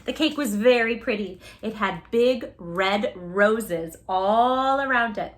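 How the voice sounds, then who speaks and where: A woman's voice: on the high side, slow pace. A woman hosting a children's storytime, reading expressively and talking effusively and directly to her young listeners. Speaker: children's storytime host and reader. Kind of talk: expressive picture-book read-aloud for young children.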